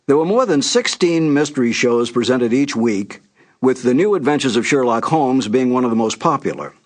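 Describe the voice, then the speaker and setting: An older man hosting with a scripted, nostalgic introduction, telling it in a measured way with deliberate emphasis. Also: medium pitch. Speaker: announcer and host.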